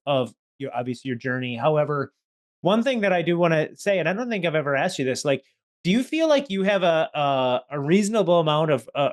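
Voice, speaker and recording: A man hosting a podcast interview, friendly and affirming, asking long rambling questions. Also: on the high side. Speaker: podcast host. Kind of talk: casual interview conversation.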